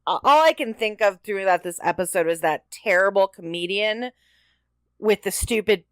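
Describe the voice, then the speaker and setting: A woman's voice: medium pitch, normal speed, clear, resonant tone, dry recording. A woman co-hosting a podcast, talking in casual, conversational discussion. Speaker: podcast co-host. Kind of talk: casual chat, TV episode discussion.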